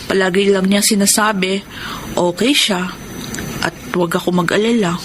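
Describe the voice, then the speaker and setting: A woman's voice: mid-range voice, fairly even intonation, normal speed, noisy background, close to an everyday voice. An actress playing an earnest, loving young Filipino girlfriend in a radio drama, speaking in a sincere, concerned way. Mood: worried. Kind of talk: Filipino radio drama acting and letter narration. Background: music.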